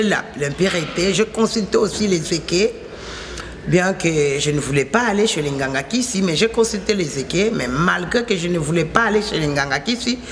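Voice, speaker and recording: A woman with a Congolese French accent, a native Lingala speaker, delivers a personal religious testimony. She talks emphatically and repeats her points in lists. A steady hiss of noise runs under the voice. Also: fairly low pitch. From Kinshasa, Congo. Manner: repetitive, emphatic, phrases restated in lists. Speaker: testifying believer.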